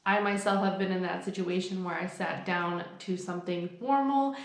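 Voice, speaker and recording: A woman's voice: fairly low pitch, normal speed. A young woman talking casually to camera in an upbeat, chatty way, explaining things with examples. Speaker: vlogger. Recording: echoey room.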